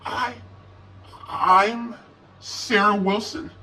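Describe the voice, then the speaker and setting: A man voicing a scared young woman who speaks haltingly in a horror story. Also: high voice, fairly even intonation, pushed and bright, recorded from a distance, voice pushed up, full character voice. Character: scared, hesitant young woman, mysterious missing apprentice.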